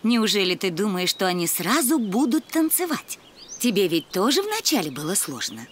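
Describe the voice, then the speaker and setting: A woman voicing a wise, kindly older bee in a cartoon, reasoning gently. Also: medium pitch, very animated, normal speed, very noisy, close to an everyday voice. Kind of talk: cartoon voice acting. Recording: music under the voice.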